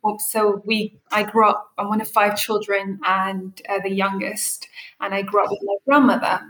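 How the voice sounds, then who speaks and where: A woman's voice: medium pitch, little pitch movement, normal speed, fairly bright tone, reverberant, poor audio. A woman from Eritrea and Ethiopia, a coffee brand founder, telling her story earnestly in an interview with frequent fillers. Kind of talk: interview answers.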